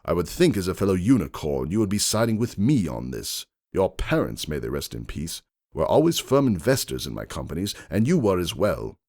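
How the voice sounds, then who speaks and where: A man voicing an agitated, indignant aristocratic unicorn stallion in a dramatic fan fiction reading, forceful and accusatory. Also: low-pitched, very animated, fairly quick, no echo, clean studio sound. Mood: angry, pleading.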